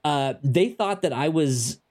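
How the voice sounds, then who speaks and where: A man with a stutter hosting a casual podcast, halting with many ums and restarts. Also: fairly high pitch, animated, a little mellow. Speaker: podcast host.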